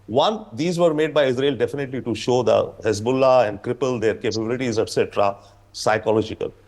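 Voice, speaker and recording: A man giving news debate commentary as an analyst, speaking emphatically and haltingly with frequent asides. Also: medium pitch, darkish tone. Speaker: panel analyst.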